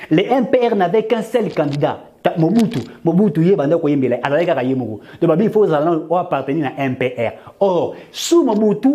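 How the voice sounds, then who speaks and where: A man's voice: a little high, mellow. A man with a Congolese French accent giving impassioned political commentary, arguing through rhetorical questions and mixing in Lingala phrases.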